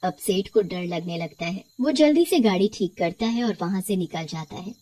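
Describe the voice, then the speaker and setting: A woman's voice: fairly low pitch, animated, moderate pace, mellow. A woman reading scripted horror story narration as the storyteller.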